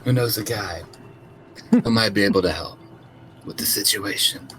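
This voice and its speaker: A man's voice: on the low side, moderate pace, fairly bright tone, slight background noise. A man playing a tabletop game, improvising his role casually.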